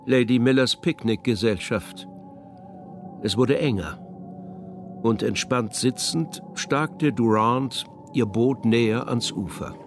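A man's voice: mid-range voice, takes it slowly, soft background music. A man narrating a literary radio drama as scripted prose.

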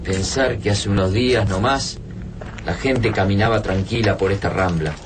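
An Argentine actor playing an observant, analytical resistance survivor in a radio drama, speaking in a measured way. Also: male voice, fairly low pitch, recorded from a distance, noisy background, close to an everyday voice. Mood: reflective. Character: analytical male resistance survivor in an invaded city.

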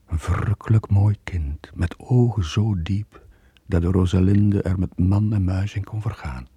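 Middle-aged sailor, Flemish accent, low breathy rasp, haunted, radio drama, close dry sound. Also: male voice, steady tone, mellow, dry recording, exaggerated voice. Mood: brooding, regretful. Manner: brooding, halting confession. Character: weary, guilt-ridden middle-aged sailor, haunted and reluctant. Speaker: actor and narrator in a radio drama. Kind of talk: 1980s Dutch-language radio drama acting.

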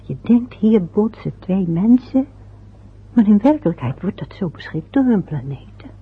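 A fussing middle-aged mother from an old radio play, muttering and chatting to herself. Her voice is very breathy and husky, and the lo-fi recording sounds muffled. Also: mid-range voice, sing-song, moderate pace, exaggerated voice. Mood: musing.

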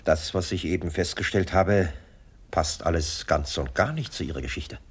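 An actor playing a formal, shrewd police sergeant in a German radio crime comedy, speaking in a measured, polite official manner. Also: male voice, low voice, breathy edge. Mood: formal, reserved. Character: fake police sergeant, composed, formal, shrewd conman accomplice.

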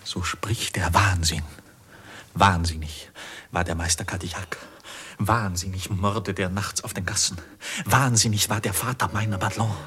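An actor playing an anguished young goldsmith's journeyman in a 1950s German radio drama, with husky, declamatory delivery that moves from hushed to impassioned. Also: low voice, steady tone, moderate pace. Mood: horrified. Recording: muffled vintage 1950s radio recording.

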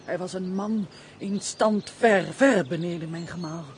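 An actress playing a remorseful young runaway wife in a radio drama, speaking in a solemn, tearful, melodramatic way. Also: female voice, fairly low pitch, a little slow, slight background noise, lightly acted. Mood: sorrowful, bitter. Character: remorseful young runaway wife, grief-stricken and self-punishing. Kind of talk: theatrical radio drama acting.

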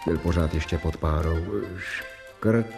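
An actor narrating in the first person in a radio play, measured and storytelling. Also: male voice, very deep voice, over music.

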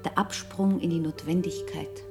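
A woman's voice: lowish voice, fairly even intonation, moderate pace, vocal fry. An actress in a German radio drama playing a wistful, guarded woman who speaks in terse, subdued phrases. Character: wistful, guarded woman being courted by a man. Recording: plucked guitar music behind the voice.

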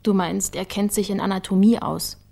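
Female investigator for radio crime drama: mid-range voice, clear-headed, matter-of-fact, methodical. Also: medium pitch, fairly even intonation, moderate pace, mellow. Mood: analytical. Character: sharp, methodical woman investigator working for the prosecutor. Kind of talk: naturalistic German radio crime drama acting.

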